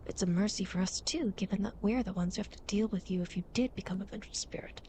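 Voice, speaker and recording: A woman's voice: medium pitch, quick pace, mellow, natural voice. A woman playing a playful, teasing demon girl who guides dead souls, in a coy, dramatic ASMR roleplay. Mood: puzzled, explaining. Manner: coy, mischievous, mock-sympathetic, dramatic. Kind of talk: ASMR roleplay, acted monologue to the listener.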